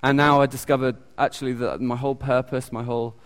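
Halting British man preaching in a church. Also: mid-range voice, little pitch movement, clean tone. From United Kingdom. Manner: halting, many ums and restarts. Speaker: lay preacher. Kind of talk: informal sermon with audience questions. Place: church hall. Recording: long echoey church reverb.

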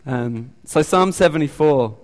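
A man with a British accent giving an informal sermon. He talks haltingly, with many ums and restarts. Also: on the high side, animated. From United Kingdom. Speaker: lay preacher. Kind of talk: informal sermon with audience questions. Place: church hall. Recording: long echoey church reverb.

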